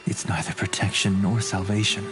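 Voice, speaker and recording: A man playing a grave, reflective warrior philosopher in a game, speaking in measured phrases. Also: on the low side, steady tone, natural voice. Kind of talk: dramatic game voice acting. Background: music.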